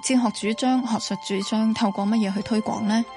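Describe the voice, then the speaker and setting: A woman interviewee lays out her reasoning calmly and thoughtfully, one point after another. Clear music runs underneath her speech. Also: on the high side, a little fast. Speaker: interviewee, commentator. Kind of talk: interview explanation.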